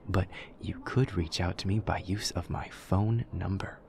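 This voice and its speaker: Man voicing an awkward demon student, very low, creaky. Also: fairly even intonation, a little slow, a little mellow, slight background noise. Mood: earnest, matter-of-fact. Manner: formal, literal, over-explaining, stilted. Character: awkward, earnest young male demon student learning human friendship. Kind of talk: audio roleplay acting, addressing the listener.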